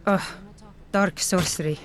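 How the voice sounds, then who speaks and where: A woman's voice: on the low side, steady tone, a little slow, background noise, close to an everyday voice. An actress voicing a young woman in a video game who is poisoned and controlled by a sorcerer, speaking in a weary, grim way. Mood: bitter.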